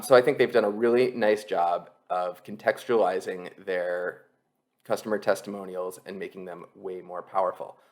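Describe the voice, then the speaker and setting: A man with a fairly low voice gives an explanatory tutorial. He sounds like an instructor talking over a screen share, conversational and going step by step. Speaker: copywriting instructor, presenter.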